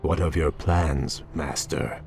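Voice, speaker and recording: An actor playing an earnest young elven prince in a video game, speaking formally and deferentially. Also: male voice, rumbling low, steady tone, slow pace, darkish tone, faint music behind, heavily acted. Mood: deferential questioning. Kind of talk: dramatic video game acting.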